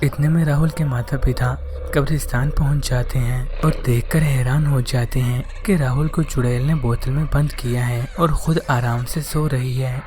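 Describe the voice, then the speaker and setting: Man, storybook narrator, dark mellow tone, even. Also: mid-range voice, normal speed, a little hiss or hum.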